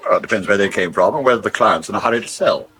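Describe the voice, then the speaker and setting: A man's voice: lowish voice, very fast, bright, forceful tone. A man playing an urbane, wry, worldly middle-aged rogue in a radio soap, with an educated English accent and suave, dry irony. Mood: dryly amused. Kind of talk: naturalistic radio soap acting.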